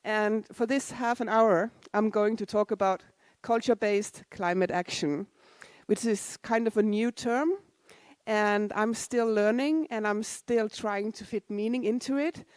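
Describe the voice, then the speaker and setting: A woman's voice: medium pitch, steady tone, a little slow, a little mellow, smooth, no echo. A woman in her forties, originally from Denmark, giving a casual, self-deprecating conference talk with asides to the audience. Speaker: conference speaker. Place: conference hall.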